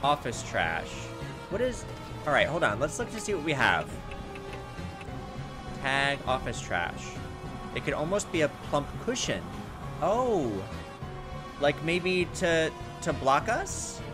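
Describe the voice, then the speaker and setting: High-voiced, lively man commentating a game. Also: slow, pauses often. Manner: thinking aloud, talking to himself. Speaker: gaming video host. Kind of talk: casual playthrough commentary, reading game text aloud. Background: game music.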